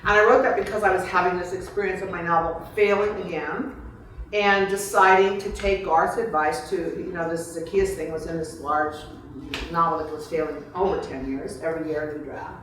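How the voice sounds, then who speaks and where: A woman's voice: on the low side, fairly even intonation, normal speed, a bit bright, slight background noise. A woman author answering audience questions in a casual, digressive way, thinking aloud in broken, informal phrases. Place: reception room before a small audience. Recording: echoey room, picked up from far off.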